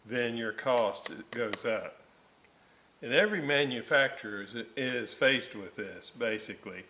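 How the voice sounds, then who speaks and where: An older man giving a casual hands-on workshop explanation, thinking aloud in a halting way as he hosts a restoration video. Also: mid-range voice, fairly bright tone. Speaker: vintage radio restorer and video host. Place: indoor home workshop.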